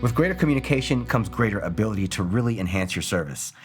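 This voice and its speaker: A man's voice: on the low side, animated, quick pace, faint music behind. A man presenting scripted promotional narration for a product video.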